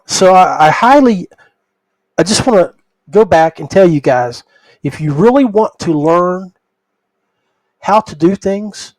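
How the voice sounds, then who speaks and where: A man's voice: high voice, dry recording, rough recording. An older man hosting a podcast in a casual, folksy way, talking straight to his listeners. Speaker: podcast host.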